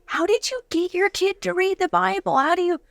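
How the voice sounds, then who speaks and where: A woman's voice: extremely high pitch, fairly quick, vocal fry, a bit bright, no echo. A woman hosting a casual interview, encouraging her guests and affirming what they say. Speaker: interview host.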